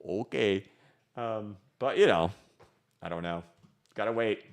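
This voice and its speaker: A man's voice: fairly low pitch, a little slow, creaky. A man hosting a live stream, reading viewer messages and answering in a casual, rambling way with many restarts. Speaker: crypto livestream host. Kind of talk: live-stream chat reading super chats. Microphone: studio microphone.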